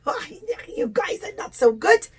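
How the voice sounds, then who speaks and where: A conceited, showy mountain in a puppet tale, voiced by a woman, high in pitch. It preens over its own looks and stretches out every boast slowly. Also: very animated, faint music behind, heavily acted. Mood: vain preening. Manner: preening, drawn-out bragging. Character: vain, showy mountains admiring their own beauty.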